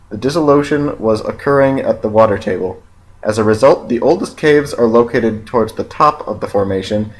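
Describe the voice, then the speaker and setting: A man narrating an educational film from a script in a measured, factual, read-aloud way. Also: mid-range voice, poor audio.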